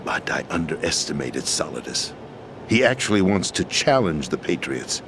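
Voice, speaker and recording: Deep-voiced, bitter American president. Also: male voice, sing-song, moderate pace, background noise. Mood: urgent, grave. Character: disillusioned, bitter middle-aged US president, power-hungry and weary. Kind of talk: dramatic video game voice acting. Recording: music behind the voice.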